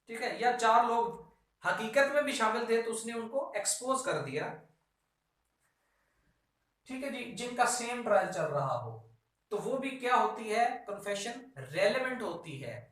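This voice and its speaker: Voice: mid-range voice, fairly bright tone. A lecturer with a Pakistani accent teaching law, reading out articles and explaining them didactically with repetition and rhetorical questions. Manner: didactic, repeats phrases and asks rhetorical questions. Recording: echoey room, distant microphone.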